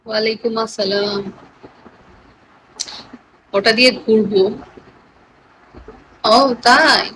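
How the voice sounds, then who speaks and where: A woman's voice: fairly high pitch, steady tone, slow, fairly bright tone, a little hiss or hum, poor audio. A woman with a Bangladeshi accent chatting casually with live stream viewers in a playful, teasing manner.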